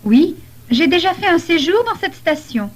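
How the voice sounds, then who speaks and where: Woman as polite young tourist, language course dialogue, high-pitched, animated intonation, deliberate delivery. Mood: polite, helpful. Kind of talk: scripted French lesson dialogue.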